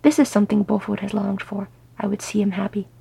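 An older male dwarf leader from a fantasy tale, played by a woman. He is stern yet sentimental, measured and solemn. The voice is dark-edged, mellow and gravelly. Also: medium pitch, level tone, full character voice.

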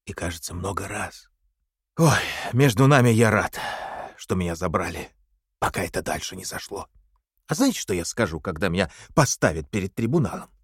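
A naive, anxious party faithful in an audio drama. He stammers and confides eagerly, the pitch leaping about in pleading bursts. The sound is very dry. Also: lively intonation, moderate pace, husky, no echo, exaggerated voice. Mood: confiding, proud. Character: Parsons, simple, eager, frightened party loyalist.

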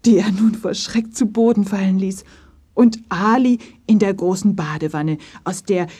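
A woman's voice: medium pitch, normal speed, dark tone, husky. A woman narrating a scripted children's story.

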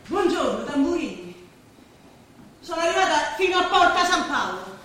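A woman's voice: sits high, very animated, normal speed, fairly bright tone. A woman acting on stage as a weary, bossy ageing Roman housewife who grumbles and bustles through her chores. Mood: weary grumbling. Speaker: stage actor. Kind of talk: theatrical stage drama dialogue. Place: theatre stage, recorded from afar. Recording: echoey stage, distant mic, noisy.

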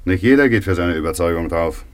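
Brusque, low-voiced village doctor. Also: male voice, animated, quick pace, no echo. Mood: matter-of-fact. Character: brusque village doctor making farm calls. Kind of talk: German radio play acting.

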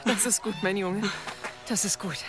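An actress playing a caring village mother and healer in an audio drama, speaking gently and affectionately. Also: medium pitch, steady tone, normal speed, music in the background, lightly acted. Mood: tender, relieved. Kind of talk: German audio drama acting.

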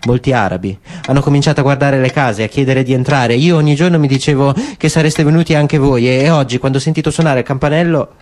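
A man reading as a calm older woman. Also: medium pitch, natural voice. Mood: calm, measured. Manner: slow, measured, choosing words. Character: calm older woman, guarded yet polite. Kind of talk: literary reading for radio.

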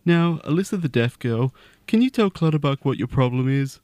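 A deadpan man playing a mediator in a podcast comedy sketch. His voice sits at a middle pitch and is recorded close and dry. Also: normal speed, no room sound.

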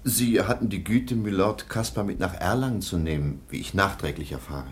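An actor playing a stern, proud baron and anxious guardian in a German radio drama, speaking with formal, indignant courtesy. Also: male voice, low voice, moderate pace, a little hiss or hum, close to an everyday voice. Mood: stiff politeness.

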